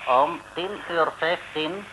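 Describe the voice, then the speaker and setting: An actor playing a pompous criminal mastermind, declaiming grandiose orders in an old radio serial. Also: male voice, fairly high pitch, slow. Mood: commanding. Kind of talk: early-1960s radio serial villain acting. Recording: hissy old gramophone-style radio recording.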